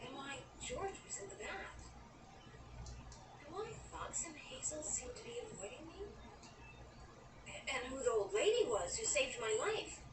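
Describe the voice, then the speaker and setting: High voiced young woman, wry and grieving, really lively pitch, radio drama. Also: a little slow, long pauses, reverberant, distant mic, noisy background. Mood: wistful. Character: young New York woman, wry, grieving her friend. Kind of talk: audio drama acting with first-person narration.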